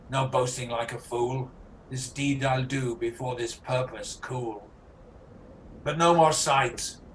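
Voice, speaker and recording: A man's voice: mid-range voice, natural voice. A man playing a haunted, ruthless tyrant king in an amateur Shakespearean radio play, over a noisy video call.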